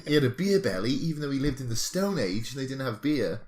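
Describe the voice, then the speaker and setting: A man's voice: mid-range voice, sing-song, quick pace, darkish tone. A man co-hosting a podcast, chatting casually with a wry, teasing, comic manner. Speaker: podcast co-host.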